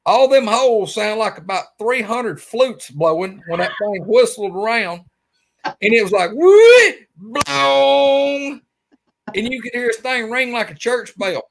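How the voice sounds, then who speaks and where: A man's voice: very high voice, a little slow, gravelly, rough recording. A man in his forties with an Alabama accent, telling a rambling story as a guest on a video call from his man cave. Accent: Southern US, Alabama. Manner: animated, rambling storyteller with lots of fillers. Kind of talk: casual storytelling interview. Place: his man cave, small indoor room. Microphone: webcam on a video call.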